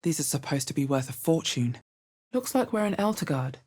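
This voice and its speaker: A woman playing a guarded adventurer and spellcaster in a fantasy video game, with a husky, bass-heavy voice and a measured, wary, dry delivery. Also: very animated, darkish tone, full character voice. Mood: wary, observant. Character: guarded, low-voiced adventurer woman, player hero who casts spells.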